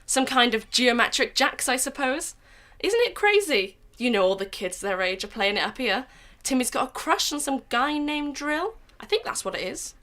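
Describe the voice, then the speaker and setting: A woman's voice: high-pitched, big pitch swings, moderate pace, sharp tone, heavily acted. A woman playing a breezy, gossipy friend in a radio drama. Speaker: actor in a student radio drama.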